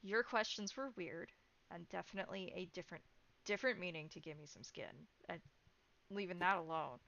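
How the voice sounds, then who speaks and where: A woman player improvising in-character chat in a tabletop role-playing game, speaking in a halting, informal, conversational way. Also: on the low side, gravelly, a bit bright, lo-fi sound.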